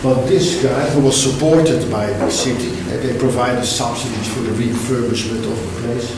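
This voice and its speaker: A middle-aged man giving an academic lecture, speaking in a halting, self-correcting way with many restarts. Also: medium pitch, fairly even intonation, noisy background. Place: lecture hall. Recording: very echoey hall, mic far off.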